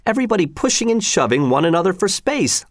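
Storybook soldier guard, played by a male reader: exasperated, sarcastic grumbler, very animated intonation, close dry sound. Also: high-pitched, fast talker, no echo, voice pushed up, exaggerated voice. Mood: exasperated complaining. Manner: complaining, emphatic, repeating himself. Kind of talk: storybook character voice.

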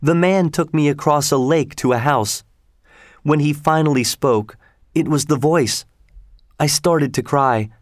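A man's voice: fairly high pitch, unhurried, dry recording, studio quality, voice pushed up, lightly acted. A man voicing a frightened, earnest young woman opera singer in a dramatic storybook reading. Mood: troubled.

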